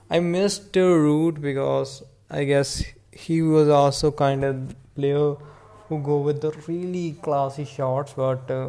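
A man with an Indian accent giving casual solo sports commentary as a podcast host, in a rambling, halting way. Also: mid-range voice, creaky, warm tone.

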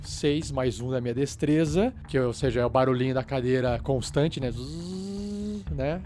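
A man's voice: mid-range voice, unhurried, noisy background. A man with a Brazilian accent playing a tabletop game, chatty and teasing in casual banter. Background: music.

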